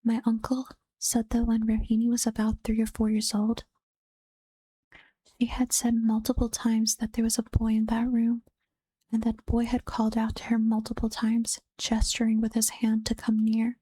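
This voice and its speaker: A woman reads creepy tales in a hushed, half-whispered ASMR voice, pitched a little high. Her tone stays dark and breathy, with almost no rise or fall. The sound is dry and close, with no room around it. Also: monotone, moderate pace, no echo. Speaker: vtuber reading Reddit ghost stories. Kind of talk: soft-spoken ASMR story reading.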